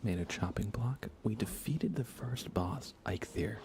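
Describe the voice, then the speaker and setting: A soft-spoken man chatting casually as he hosts an ASMR gaming stream, often slipping into whispers. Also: fairly low pitch, animated, measured pace. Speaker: livestream host. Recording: faint computer fan noise. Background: computer fans, game ambience.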